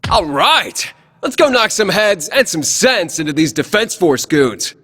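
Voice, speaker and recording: An actor playing a cocky, teasing young male squad mentor with a husky voice in a video game cutscene. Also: high voice, very animated, unhurried, sharp tone, in a large hall, full character voice.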